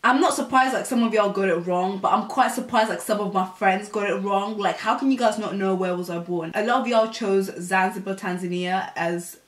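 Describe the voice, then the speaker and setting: A woman's voice: medium pitch, fairly quick, hardly any pauses, echoey room. A young woman, chatting casually to camera as a vlogger. She talks in slang with lots of fillers.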